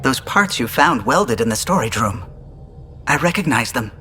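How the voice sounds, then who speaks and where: A reflective starship crewman from a video game. His mid-pitched voice has a bright, forward tone and a sincere, measured delivery. Faint music runs underneath. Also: sing-song.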